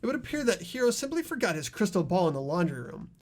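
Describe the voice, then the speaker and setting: A man voicing an earnest high school boy in a mystery game, explaining a case in a deliberate, dramatic way. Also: very animated. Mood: grave, determined. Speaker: amateur voice actor in a game playthrough.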